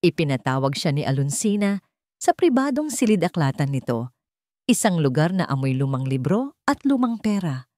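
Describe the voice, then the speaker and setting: A woman's voice: deep voice, sing-song, normal speed, warm tone, no echo, clean studio sound. A woman reading a scripted dramatic family story as its narrator. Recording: music behind the voice.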